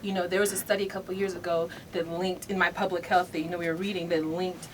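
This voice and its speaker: Low-voiced woman explaining earnestly, noisy recording. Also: flat delivery, fast talker. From Nigerian background. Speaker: interview guest, hair care company founder. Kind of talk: radio interview, explaining. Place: radio studio. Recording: noisy room, distant mic.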